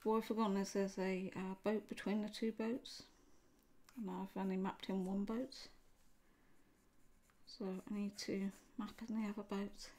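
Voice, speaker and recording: A woman casually talking through her drawing as she works, relaxed and thinking aloud at a home desk. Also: mid-range voice, unhurried. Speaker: art tutorial host. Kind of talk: casual narration while drawing. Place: home desk, seen from above.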